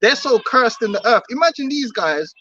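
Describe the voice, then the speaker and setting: A man's voice: very high-pitched, very animated, moderate pace, pushed and bright, muffled recording, slight background noise. A man preaching outdoors on the street, emphatic and repetitive, often laughing between his points.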